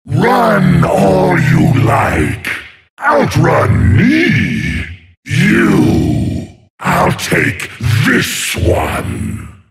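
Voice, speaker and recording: A man voicing a menacing, imperious sea monster tyrant for a video game, growling slowly and theatrically as he gloats. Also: fairly high pitch, very noisy, heavily acted. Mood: wrathful, threatening. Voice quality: gravelly, growling. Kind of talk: video game character voice lines.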